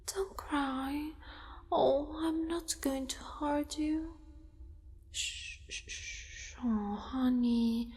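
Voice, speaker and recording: Casting: woman to voice a possessive, doting spider-girl monster for ASMR roleplay, high soft breathy voice, slow coaxing delivery, cavernous reverb. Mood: soothing. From Italy. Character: possessive, doting female spider monster who kidnaps a mate.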